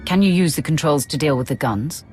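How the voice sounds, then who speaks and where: A commanding warrior of a dark empire in a sci-fi game, voiced by a woman. She speaks crisply and with stern control, and soft music plays behind her. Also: low voice, brisk, lightly acted. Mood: businesslike. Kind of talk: video game voice acting.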